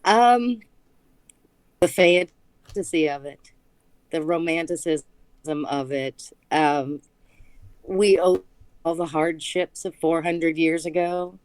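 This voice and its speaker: Slow-talking low-voiced woman. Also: a little mellow, smooth, no echo, poor audio. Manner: halting, restarts sentences, laughs often. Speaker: guest, small business owner. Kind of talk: casual interview conversation.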